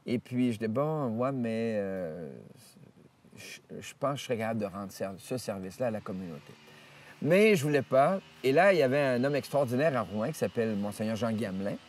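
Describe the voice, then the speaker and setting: A man, a priest telling stories from his life in an interview, rambling with many hesitations and restarts and lively retelling of what others said. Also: mid-range voice, a little fast, recorded from a distance, a little hiss or hum. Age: 70s. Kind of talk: storytelling interview. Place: outdoors in nature.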